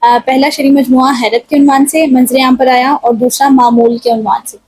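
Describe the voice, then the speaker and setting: A young woman with a Pakistani accent presents a talk show in formal phrasing. Her voice is high and somewhat husky, and her manner is polite and deferential. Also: level tone, darkish tone, no echo.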